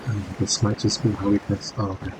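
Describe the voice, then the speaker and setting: A man's voice: fairly low pitch, flat delivery, a little fast, heavy background noise. A man giving casual, laid-back game commentary, thinking aloud as he plays. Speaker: gamer, video creator. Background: loud game music.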